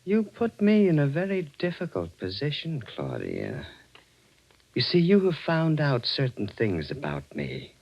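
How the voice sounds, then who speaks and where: An actor playing a sinister, obsessed and menacingly polite murderer in a 1940s radio mystery, speaking formally and deliberately. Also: male voice, mid-range voice, wildly swooping pitch, full character voice. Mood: menacing, obsessive. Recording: dull old radio recording.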